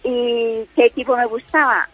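A woman's voice: on the high side, steady tone, normal speed, a bit bright, no room sound. A middle-aged Colombian-born woman with a Spain Spanish accent, chatting as a caller, talkative with many fillers and restarts. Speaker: listener caller. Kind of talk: casual phone chat. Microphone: phone line. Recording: dull lo-fi phone line.

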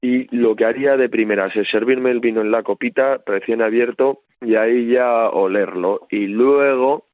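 A man's voice: medium pitch, moderate pace, few pauses, no room sound, narrow, tinny audio. A man explains things informally as a guest expert in a radio interview, often checking for agreement with little tag questions. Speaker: winery representative, interview guest.

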